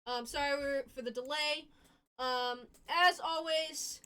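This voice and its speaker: A woman hosting a live stream in casual chat, halting and full of "um" fillers. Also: high voice, fairly even intonation, sharp tone. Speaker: podcast host.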